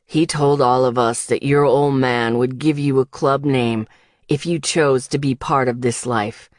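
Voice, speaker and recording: A gruff biker man, acted by a woman speaks low and slow in an audiobook. He is calm, blunt and protective, keeping his words steady in a dry, close recording. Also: no echo. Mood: calm, explanatory. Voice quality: low, put-on male voice. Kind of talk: audiobook character dialogue.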